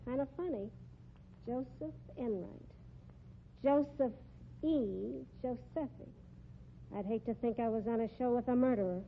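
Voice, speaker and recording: A woman's voice: fairly high pitch, a little slow, pauses often. An actress playing a sly, sarcastic circus fat lady with an American accent and a drawling, slangy manner, in 1950s radio drama style. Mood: sly, insinuating. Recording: tinny, hissy old radio recording.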